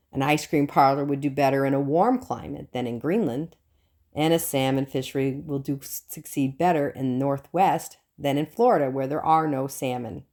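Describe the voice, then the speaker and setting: A woman narrates a self-help audiobook in a low, mellow voice. Her intonation moves widely up and down as she reads, and her delivery is deliberate and emphatic. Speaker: audiobook reader.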